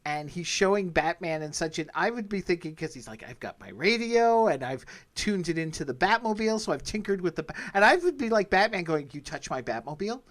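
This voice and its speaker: A man's voice: high-pitched, animated, brisk, hardly any pauses, fairly bright tone. A man in casual conversation, commenting as a podcast host.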